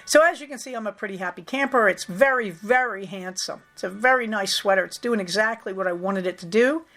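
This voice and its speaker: A woman's voice: mid-range voice, brisk, fairly bright tone. A middle-aged woman hosting a knitting podcast, chatting through her projects in a wry, matter-of-fact way while she recovers from a cold. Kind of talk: casual chatty project update.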